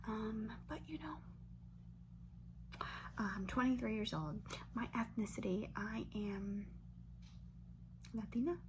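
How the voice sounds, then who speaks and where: A woman in her twenties from Brazil, whispering an ASMR question-and-answer chat close to the mic and softly repeating words over and over as triggers. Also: a little high, takes it slowly, pauses often. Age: 20s. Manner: chatty, filler-heavy, with light laughs. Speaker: ASMR creator. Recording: noisy hum under voice.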